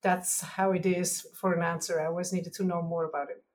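Lower-pitched Swiss German-accented woman scientist. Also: flat delivery, brisk. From Switzerland. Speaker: guest scientist, researcher. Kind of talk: interview, explaining science to lay listeners.

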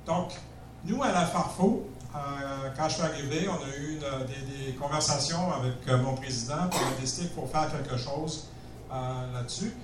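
A man with a Canadian French accent gives an informal presentation, pausing and restarting often. He sits well back from the mic in a reverberant room with a faint hiss behind him. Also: on the high side. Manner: halting, many fillers and restarts. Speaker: director general of a seniors' federation. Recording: echoey room, mic at a distance.

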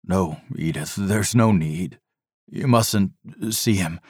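A man's voice: deep voice, slow, husky, no echo, clean studio sound, heavily acted. A man voicing an anguished middle-aged doctor who groans and pleads with his wife in an audiobook reading. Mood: grim. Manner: strained, groaning, restraining.

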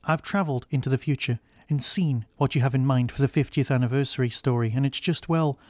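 A man's voice: medium pitch, a little fast, darkish tone, no room sound, studio quality, close to an everyday voice. A man voicing a weary time-travelling alien who earnestly pleads for a rest, in an audio story. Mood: pleading.

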